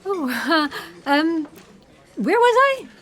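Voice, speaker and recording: A woman playing an anxious, defensive paleontologist with a flustered, halting delivery in a comedic sci-fi audio play. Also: high-pitched, sing-song, full character voice.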